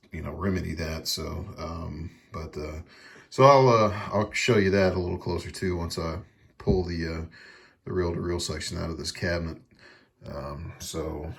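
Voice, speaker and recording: A man giving a casual hands-on repair tutorial, explaining things in a halting, hesitant way. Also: deep voice. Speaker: repair video host.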